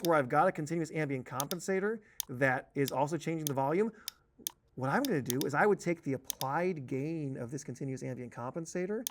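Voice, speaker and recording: Male training instructor, somewhat higher pitched, conversational tutorial delivery, explains step by step and leans on important words. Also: a little hiss or hum. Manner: explanatory, cautionary, stressing key words. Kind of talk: conversational tutorial narration over a software demo.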